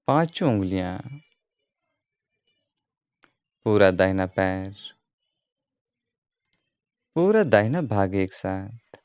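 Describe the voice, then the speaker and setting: A man giving guided meditation instruction as a yoga teacher, in a calm, measured, repetitive way. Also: lowish voice. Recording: roomy echo, dull muffled sound.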